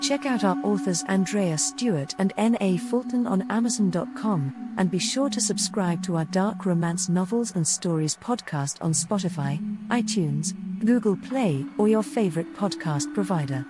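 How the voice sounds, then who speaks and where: A woman reading scripted historical romance narration as an audiobook narrator, in a measured, articulate storytelling manner. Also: medium pitch, animated, over loud music.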